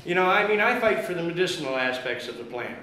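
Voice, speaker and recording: A man speaks loudly and fervently to a meeting, rallying the listeners and peppering his talk with fillers. His voice sits high. The room echoes heavily around him, with a faint hiss underneath. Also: expressive, quick pace. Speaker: guest speaker at an activist meeting. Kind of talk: impassioned public speech.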